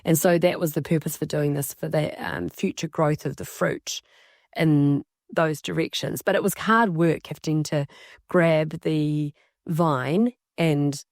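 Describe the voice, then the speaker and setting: A woman's voice: low-pitched, darkish tone, no room sound. New woman telling stories in dry sound. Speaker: sign language interpreter voicing a signer. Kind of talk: casual conversational storytelling, interpreted from sign language.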